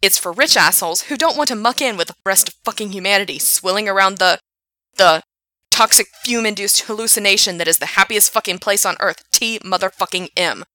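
A man's voice: on the high side, normal speed, vocal fry, no echo, clean studio sound, heavily acted. Woman voicing a ranting, furious young man. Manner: loud, swearing, ranting. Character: furious bitter young male park worker.

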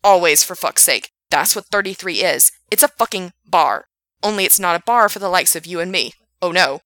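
A woman voicing an angry young male park worker who rants loudly and swears. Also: fairly high pitch, normal speed, vocal fry, dry recording, exaggerated voice. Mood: furious. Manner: loud, swearing, ranting.

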